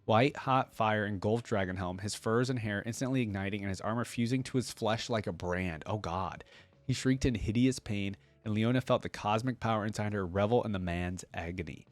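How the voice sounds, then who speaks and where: A man's voice: fairly low pitch, brisk, no room sound. A man hosting a reaction channel, reading lore aloud and commenting as a fan in an informal, slangy way.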